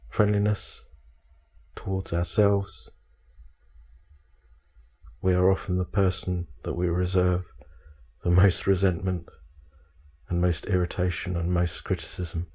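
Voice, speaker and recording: A man guiding a meditation, speaking calmly in measured short phrases with frequent pauses. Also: low voice, breathy edge. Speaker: meditation guide. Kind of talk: guided meditation instruction.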